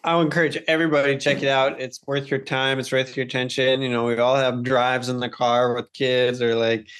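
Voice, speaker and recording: A man's voice: mid-range voice, little pitch movement, fairly quick, a bit bright. A man talking casually as a podcast co-host, earnest and informal, often repeating words as he goes. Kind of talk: casual conversational talk.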